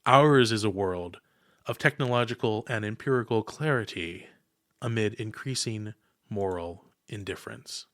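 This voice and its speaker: A man narrating a scripted podcast essay in a formal, oratorical manner, setting his phrases apart with deliberate pauses. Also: fairly low pitch, fairly bright tone, dry recording, clean studio sound. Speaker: podcast host.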